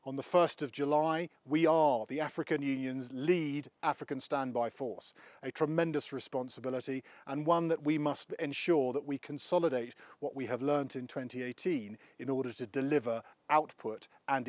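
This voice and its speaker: Man, formal official tone, measured delivery, dry slightly dull recording. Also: mid-range voice, dry recording. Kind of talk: formal interview statement.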